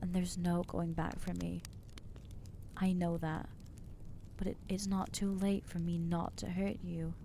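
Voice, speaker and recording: A woman voicing an anxious, guilt-ridden young female vampire in an ASMR roleplay, speaking haltingly and stammering as she reproaches herself. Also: fairly low pitch, little pitch movement, dark tone, slight background noise. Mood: anguished.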